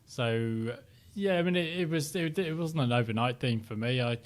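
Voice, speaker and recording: Man, middle-aged, softened northern English accent, reflective, lots of ums, relaxed interview chat. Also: medium pitch, moderate pace. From Sheffield, South Yorkshire, England. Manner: hesitant, many ums and restarts, reflective. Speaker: interview guest.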